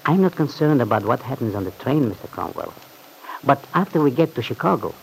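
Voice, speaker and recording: An actor playing a cautious, worried manservant, formal and discreet, with a creaky voice, in an old-time radio serial. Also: male voice, mid-range voice, big pitch swings, a little fast. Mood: worried caution. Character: deferential, cautious, worried manservant advising his employer. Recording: faintly noisy old radio recording.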